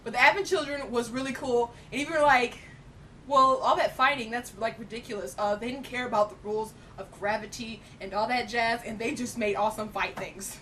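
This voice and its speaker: High-voiced woman chatting, slightly distant recording. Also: brisk, fairly bright tone. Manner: emphatic, slangy fan talk. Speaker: co-host reviewing films. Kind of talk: casual opinionated chat. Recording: distant mic, faint noise and rumble.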